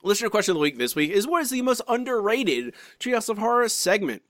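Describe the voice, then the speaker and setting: A man co-hosting a podcast, reading out listener comments in chatty, animated fashion with frequent restarts and asides. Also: high-pitched, fast talker, dry recording, clean studio sound. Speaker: podcast co-host.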